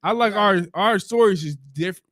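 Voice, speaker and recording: Fast, blunt man in his twenties. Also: high-pitched, crowd noise. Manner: blunt and profane. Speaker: podcast guest. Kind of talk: casual podcast chat.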